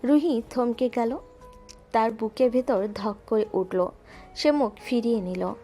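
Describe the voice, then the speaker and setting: A woman narrating a romantic audio drama in a calm, steady storytelling voice. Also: a little high, unhurried, soft background music.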